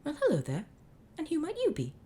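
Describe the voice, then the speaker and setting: A woman voicing a gentle, kindly young male pharaoh, speaking with warm courtesy. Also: high-pitched, huge pitch swings, fairly quick, voice pushed up.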